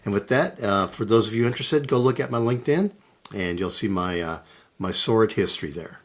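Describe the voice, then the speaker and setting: Middle aged guy, real low voice, friendly, kinda halting w fillers. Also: expressive. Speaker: guest, corporate intelligence lead. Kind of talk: casual conversation.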